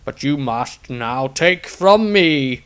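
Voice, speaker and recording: Man playing a solemn old wizard master, slow and projected. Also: mid-range voice, animated, a bit bright, smooth. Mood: solemn, tender. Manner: grave, deliberate, declaiming. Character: dying old male wizard master, solemn, kindly mentor.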